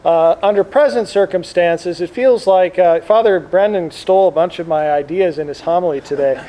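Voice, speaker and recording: A man giving an informal religious talk to a gathering as a priest, reflective and halting, speaking up to be heard. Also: sits high, warm tone, rough recording. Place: indoor room with air conditioning. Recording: clear background air conditioner noise. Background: air conditioner noise.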